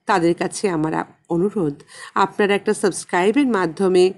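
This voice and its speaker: A woman narrating a literary story expressively, in a measured way with dramatic pauses. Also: lowish voice. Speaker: audiobook narrator and channel host.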